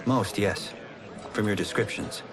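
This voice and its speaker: A man's voice: fairly low pitch, a little slow, over music, natural voice. A man playing an earnest, respectful young champion fighter in a game, polite and restrained. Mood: quiet. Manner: polite, plain-spoken, restrained. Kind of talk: video game cutscene acting. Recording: long hall reverb.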